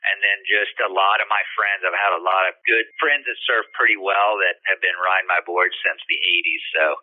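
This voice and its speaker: Older male surfboard shaper from the Bahamas, low-pitched and unhurried, heard through a tinny phone-like line. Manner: relaxed, rambling with fillers and restarts. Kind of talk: casual interview answers.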